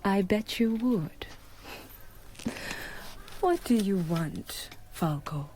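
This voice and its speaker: A woman's voice: mid-range voice, lively intonation, extremely slow, dark tone, a little hiss or hum. An actress playing a worldly female snake dancer in a radio drama, dry, teasing and matter-of-fact. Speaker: circus performer.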